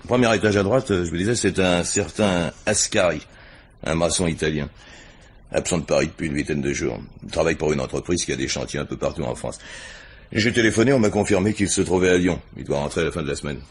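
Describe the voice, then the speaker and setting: A low, husky male voice plays a deferential, chatty police inspector. He reports quickly, with informal hesitations and wry asides, in a radio crime drama. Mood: wry, reporting.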